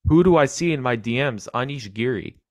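A man's voice: medium pitch, expressive, fairly quick, no room sound. A young man with a nasal voice hosting a chess podcast, joking and self-deprecating in casual chat. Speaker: podcast host.

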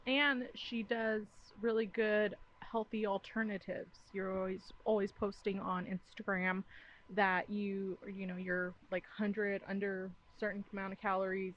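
A woman's voice: medium pitch, muffled recording, slight background noise. A woman hosting a podcast in casual chat, teasing and laughing often. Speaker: podcast host.

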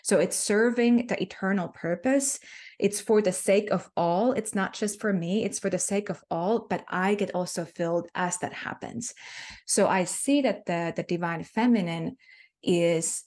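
A woman's voice: on the low side, unhurried, a little mellow. A Finnish-accented woman, a guest on an interview, speaking earnestly about spiritual ideas and repeating herself with many fillers. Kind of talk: spiritual talk.

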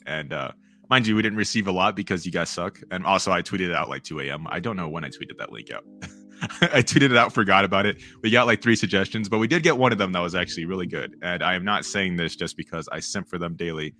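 Deep-voiced guy cohosting a pod, kinda monotone, laughs a lot, sharp tone, faint music behind. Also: quick pace. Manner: fairly monotone, laughs often. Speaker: podcast co-host. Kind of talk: casual chat.

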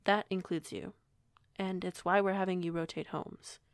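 A woman playing a young, kindly, protective werewolf pack alpha in an audio roleplay, measured and gently authoritative. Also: fairly low pitch, fairly even intonation, no echo, clean studio sound, close to an everyday voice. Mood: calm, firm.

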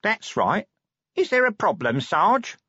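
A man's voice: high-pitched, wildly swooping pitch, unhurried, a bit bright, husky, dry recording, muffled recording, voice pushed up, heavily acted. A man voicing a guileless, cheeky police underling in an audiobook, deferential and defensive in colloquial speech. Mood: innocent, anxious. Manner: deferential, innocent-sounding, colloquial. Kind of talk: audiobook character dialogue.

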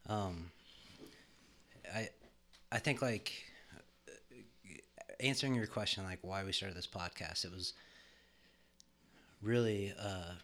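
A man with a very low, deep voice talks casually on a podcast from a home studio. He rambles with fillers and slips in jokes. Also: slow pace, pauses often, fairly bright tone. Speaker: podcast co-host. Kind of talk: casual chat.

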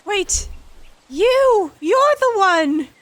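A woman's voice: extremely high pitch, sing-song, drawn-out words, no echo, heavily acted. A woman voicing an earnest, naive young male hero who travels through time, in a comedic fan dub. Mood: dramatic.

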